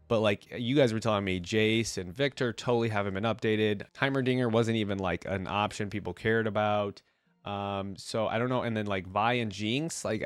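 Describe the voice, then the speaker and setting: Low-voiced man with a cold, dry close sound. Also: smooth, no echo. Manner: informal, chatty, rambling. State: congested with a cold. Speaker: video creator, reaction host. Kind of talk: casual rambling chat to viewers about a game.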